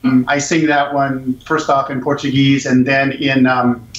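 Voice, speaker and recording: Relaxed man on an echoey, muffled line. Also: medium pitch, measured pace. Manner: relaxed, storytelling, chuckles at himself. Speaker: guest jazz musician and singer. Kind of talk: casual interview chat.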